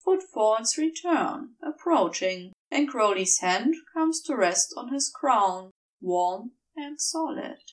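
Slow, high-voiced woman reading a story. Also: far from the mic. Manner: measured, expressive storytelling. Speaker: fan fiction audiobook reader. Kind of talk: scripted story narration.

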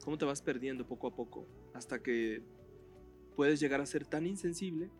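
Calm Mexican man discussing a book. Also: medium pitch, moderate pace, faint music behind. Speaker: podcast co-host. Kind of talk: casual book chat.

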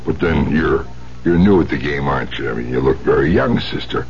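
An actor playing a gruff, cynical older art dealer in a 1970s radio drama, blunt and sardonic with streetwise phrasing. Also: male voice, very deep voice, animated, fairly quick. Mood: sardonic, needling. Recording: muffled, hissy 1970s radio recording.

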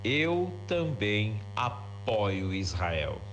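Gaucho-guy from southern brazil, slow and kinda low, faint hum in the recording. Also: very animated, a bit bright. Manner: slow, plain testimonial. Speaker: listener.

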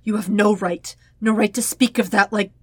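A woman's voice: medium pitch, steady tone, moderate pace, husky, no echo, natural voice. A woman voicing an angry, proud, wounded teenage boy in an audiobook story, gasping and snarling as the words are spat out. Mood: furious.

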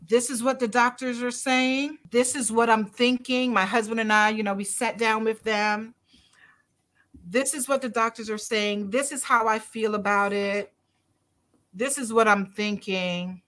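A woman's voice: fairly high pitch, steady tone, unhurried, fairly bright tone. Woman from barbados, middle-aged, doctor on a podcast, earnest, emphatic. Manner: earnest, emphatic, repeats key phrases. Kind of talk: conversational interview.

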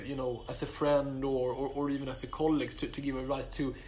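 A man speaking English, which is not his first or second language, answering interview questions about his application in a measured way, with many fillers and restarts. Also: medium pitch, flat delivery, darkish tone. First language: not English; English is his third language.

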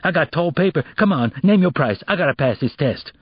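Casting: male narrator playing swaggering teen street kid, slangy and bullying, lively pitch swings, slightly husky. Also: brisk, dry recording, muffled recording. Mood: impatient, bargaining. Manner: slangy, pushy, bullying talk. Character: cocky, menacing teenage boy with street swagger, a would-be cheat.

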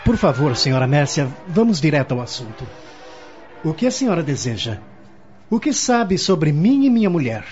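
Man playing a firm lawyer, animated high-pitched voice. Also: normal speed, soft background music. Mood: firm, indignant. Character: firm, composed lawyer and protective, loving husband. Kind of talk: radio soap opera acting. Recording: slightly muffled studio recording.

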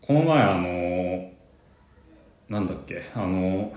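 A man's voice: low voice, lively intonation, measured pace, a little mellow. A young man co-hosting a radio programme in casual conversation. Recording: roomy, echoing room.

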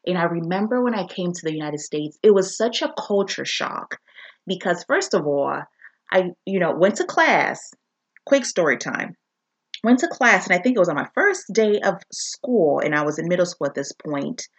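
A woman's voice: fairly low pitch, very animated, moderate pace, slightly rough, muffled recording. A woman from Zambia hosting her own podcast, talking in a reflective, earnest way with frequent restarts. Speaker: podcast host. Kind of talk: casual solo podcast introduction.

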